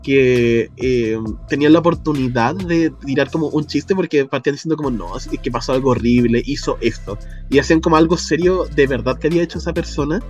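A man's voice: mid-range voice, a little fast, hardly any pauses, a little mellow, highs cut off, faint music behind. A man with a Chilean accent, co-hosting a podcast in casual chat, chatty and laughing often as he drops slang. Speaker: podcast co-host.

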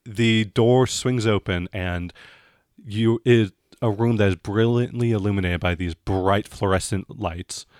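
Low-voiced man running a tabletop game. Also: a little slow, darkish tone, no echo, clean studio sound. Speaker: game master. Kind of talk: narrating a tabletop role-playing game.

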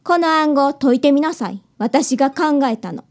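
Woman as a high-voiced, haughty little schoolgirl. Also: lively intonation, normal speed. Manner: haughty, cool, bossy. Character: cheeky, precocious little schoolgirl who challenges detectives. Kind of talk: radio drama acting.

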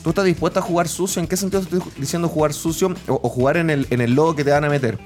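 A Chilean man hosting a casual political interview, asking rambling, halting questions as he works toward his point. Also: a little high, steady tone, darkish tone, soft background music. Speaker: podcast interviewer.

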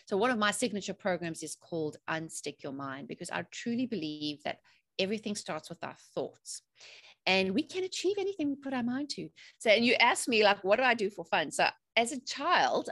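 A woman's voice: mid-range voice, fairly bright tone, no room sound. A woman in her forties with a South African accent, answering interview questions in a chatty, upbeat way. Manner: chatty and upbeat, rising emphatic phrases. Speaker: podcast guest, mindset coach.

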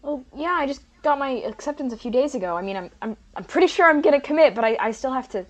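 A woman's voice: sits high, animated, quick pace, a crowd in the background. A woman playing an anxious, foul-mouthed prospective student in a comedic audio drama, hesitant and exasperated. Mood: nervous.

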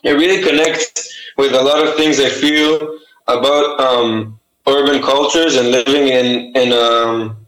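A man's voice: mid-range voice, fairly even intonation, unhurried, a bit bright, echoey room, low-quality recording. A young man with an Israeli accent, a native Hebrew speaker, answering interview questions about his art with many fillers and restarts. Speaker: artist and t-shirt designer.

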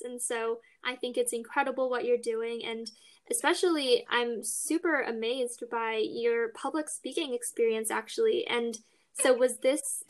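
A woman interviewer asking questions and praising her guest's work. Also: a little high. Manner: admiring, encouraging.

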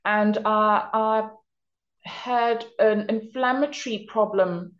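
A South African woman, a guest in a casual interview, giving earnest, energetic advice. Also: medium pitch, slow, roomy sound, muffled recording.

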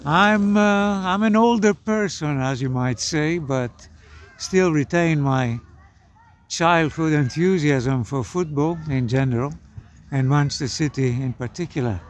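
A man in his seventies from Greece, a podcast guest telling stories in a measured, thoughtful way. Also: a little high, slight background noise. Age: 70s. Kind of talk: casual conversation.

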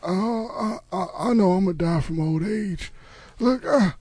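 A man voicing a whiny old grandfather who pleads and quavers in a high, squeaky voice. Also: moderate pace, warm tone, husky, dry recording. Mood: quavering. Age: older.

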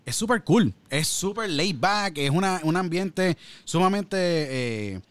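Rambling Puerto Rican interviewer. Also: male voice, high-pitched, expressive, slow pace, fairly bright tone, clean tone, dry recording. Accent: Puerto Rican Spanish. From Puerto Rico. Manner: friendly, rambling, mixes in English words. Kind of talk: casual interview chat.

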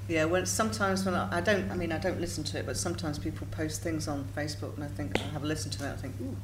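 A rambling, self-deprecating woman fielding fan questions, her voice sitting a little low. She is picked up from some distance in a big echoey hall, with loud room noise around her. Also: steady tone, rapid-fire. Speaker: voice actress guest at a fan Q&A. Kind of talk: candid panel answers. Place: large event hall with audience.

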